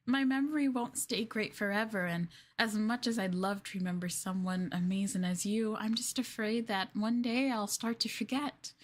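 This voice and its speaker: A woman playing a clingy, lovestruck yandere girlfriend in an ASMR roleplay, soft, coy and doting, with a hesitant, flustered way of talking. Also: a little high. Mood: adoring, possessive.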